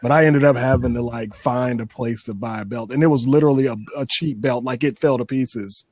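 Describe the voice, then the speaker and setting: A man's voice: mid-range voice, a little fast, a little mellow, no room sound. A male co-host with a mid-range voice. He asks questions casually and slips into his own stories. The recording is dry and close. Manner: chatty, tells his own anecdotes. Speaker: show co-host.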